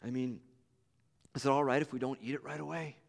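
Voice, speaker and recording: A man voicing a shy, weary woman of thirty-nine who speaks softly and haltingly, in a hall with long reverb. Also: medium pitch, animated, lightly acted. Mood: hesitant. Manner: soft, halting, hesitant.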